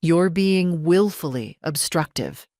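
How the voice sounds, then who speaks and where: A scheming daughter-in-law voiced by a woman narrator talks with deliberate care and condescension. Menace sits under her smooth veneer, in a dry, close audio-drama sound. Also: lowish voice, animated, a little mellow, no echo. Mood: cold, threatening.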